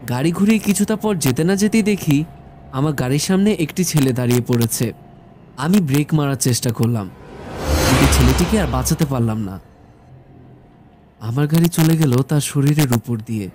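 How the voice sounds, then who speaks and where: A man narrating a scripted first-person horror story in a measured storytelling manner. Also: mid-range voice, darkish tone, a little hiss or hum. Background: background music.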